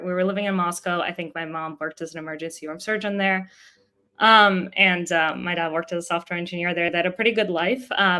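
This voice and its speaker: A woman's voice: fairly low pitch, bright, forceful tone. A young woman from Russia whose first language is Russian, a podcast guest telling her family story earnestly and openly, with many fillers. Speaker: guest, startup co-founder. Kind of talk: podcast interview, personal story.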